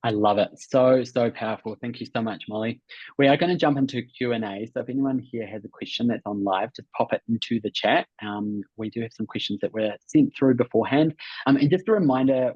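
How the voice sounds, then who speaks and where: A man with a New Zealand accent hosting a coaching webinar, explaining emphatically and repeating key points with frequent check-ins. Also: mid-range voice. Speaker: host and business coach. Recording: muffled, roomy webinar audio.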